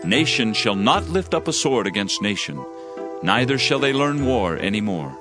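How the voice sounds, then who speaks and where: An actor playing a fervent, solemn prophet in a dramatized scripture reading, declaiming judgment and hope. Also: male voice, medium pitch, big pitch swings, normal speed, close to an everyday voice. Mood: solemn, exalted. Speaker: Bible narrator. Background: music.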